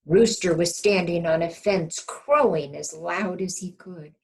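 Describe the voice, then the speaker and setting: A woman reading a storybook aloud as a kindergarten teacher, expressive and pausing to ask young children questions and point things out. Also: lowish voice.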